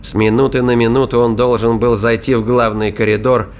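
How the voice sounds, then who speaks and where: A man's voice: medium pitch, little pitch movement, fairly quick, slight background noise. A man reading scripted narration for a science fiction thriller audio drama. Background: music and sound effects.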